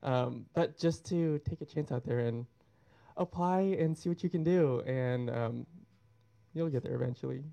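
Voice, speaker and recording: A man's voice: mid-range voice, moderate pace, gravelly, dark tone. A man on an artists' panel, speaking modestly and encouragingly. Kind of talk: panel discussion answer.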